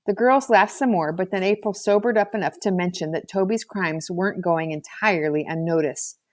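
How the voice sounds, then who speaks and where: A woman's voice: lowish voice, normal speed, no room sound. A woman reading a children's novel aloud as scripted narration. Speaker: audiobook reader.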